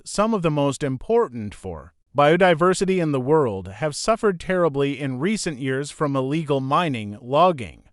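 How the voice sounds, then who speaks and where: A man reading aloud a practice passage in a steady, formal way. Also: on the high side, no room sound, clean studio sound. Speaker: test passage reader.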